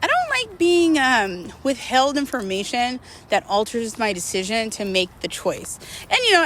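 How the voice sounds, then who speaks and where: A woman's voice: a little high, a bit bright. A woman talking casually and confessionally to her viewers, in a candid, rambling, emphatic way with slangy wording. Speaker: host of a personal vlog series.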